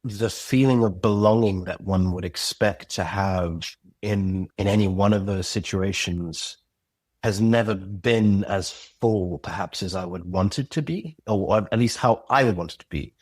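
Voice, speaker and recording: Husky low-voiced man, reflective. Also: unhurried, a little mellow, no room sound. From Zimbabwe. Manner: thoughtful, searching, with frequent restarts and "you know what I mean". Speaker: podcast guest, audio drama creator. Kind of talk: reflective interview conversation.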